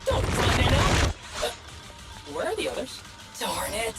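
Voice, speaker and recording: An actress voicing a small, excitable robot companion creature in a game, speaking in a shouty way and ending lines with a chirp. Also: female voice, a little high, unhurried, creaky, full character voice. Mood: excited. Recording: loud game music behind.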